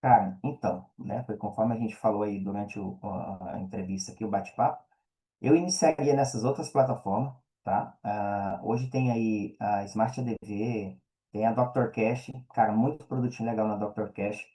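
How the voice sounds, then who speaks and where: Mellow Brazilian man as podcast guest. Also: on the low side, moderate pace. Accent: Brazilian Portuguese. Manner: slangy, explanatory, peppered with check-in tags. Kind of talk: casual interview chat.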